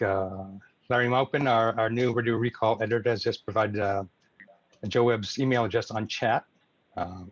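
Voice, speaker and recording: A low-voiced American man chairs an informal online club meeting. He delivers announcements haltingly, feeling his way through each phrase. The sound is dry and slightly dull, as on a video call. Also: no room sound. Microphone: video call microphone. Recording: muffled video call audio.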